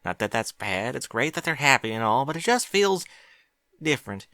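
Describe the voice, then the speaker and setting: A man's voice: mid-range voice, sing-song, moderate pace, pushed and bright, dry recording, clean studio sound, pitched above the performer's own voice. A man voicing a spunky young filly pony girl in a fan fiction reading, with an eager, indignant way of talking. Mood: wistful.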